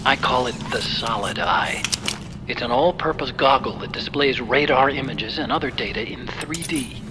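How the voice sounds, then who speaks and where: An actor playing an earnest, helpful tech-expert sidekick in a video game, explaining things in a friendly way. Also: male voice, mid-range voice, animated, moderate pace, background noise, lightly acted. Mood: friendly, eager. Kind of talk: video game cutscene acting.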